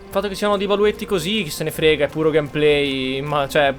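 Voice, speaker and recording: High-pitched man commenting on a game. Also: fairly even intonation, fast, soft background music. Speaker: gameplay streamer. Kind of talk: casual playthrough commentary.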